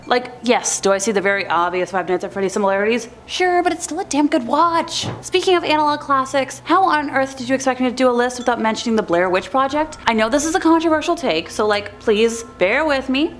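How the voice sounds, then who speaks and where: Chatty woman narrating with a higher voice. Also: a little hiss or hum. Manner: chatty and opinionated, with informal asides. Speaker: list video host. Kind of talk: casual narrated commentary.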